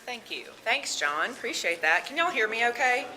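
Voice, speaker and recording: A woman with a Southern accent, a medical social worker giving a talk at a conference, loud and chatty with nervous laughs. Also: fairly high pitch, sing-song, normal speed, a loud crowd, very noisy. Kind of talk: conference presentation. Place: conference room with audience.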